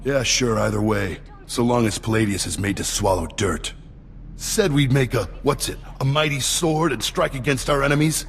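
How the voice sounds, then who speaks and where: Casting: actor for a rough street cultist in a game; fry-edged, clipped, plain-spoken, heard in long reverb. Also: male voice, mid-range voice, moderate pace, a little hiss or hum, close to an everyday voice. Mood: gruff, defiant. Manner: blunt, clipped, colloquial. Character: brash, blunt, rough street rebel and cultist.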